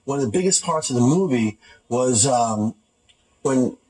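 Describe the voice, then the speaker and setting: A man chatting casually as an interview guest, halting and often repeating his phrases. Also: medium pitch, expressive, darkish tone, husky, poor audio. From Hoboken, New Jersey. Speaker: film producer, interview guest. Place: TV studio.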